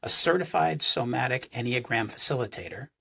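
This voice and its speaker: A man hosts a podcast, introducing his guest and then asking about her life. His voice is slightly husky, and his friendly questions stall on plenty of ums and uhs. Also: medium pitch, animated, sharp tone. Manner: friendly, halting with many fillers. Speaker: podcast host. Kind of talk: casual interview.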